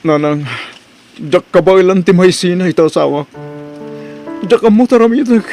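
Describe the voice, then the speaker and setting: A man's voice: high voice, lively intonation, moderate pace, a little mellow, faint music behind. A man playing a worried, bewildered husband in an Ilocano radio drama, speaking hesitantly as if thinking aloud. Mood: anxious, upset. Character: worried, bewildered husband confiding in his mother.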